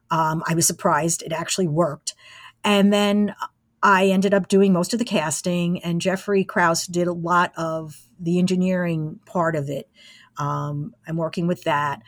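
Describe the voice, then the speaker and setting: A woman's voice: on the low side, no echo, clean studio sound. A woman in her sixties reminiscing casually as an interview guest. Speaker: interviewee, radio station alumna.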